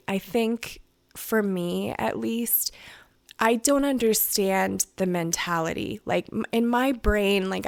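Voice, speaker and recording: A woman hosting a solo podcast, her voice raspy and hoarse from crying, talking in a chatty, rambling way. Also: medium pitch, dry recording, studio quality. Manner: chatty and rambling, many "like" and "you know". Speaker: podcast host. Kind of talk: casual solo podcast review.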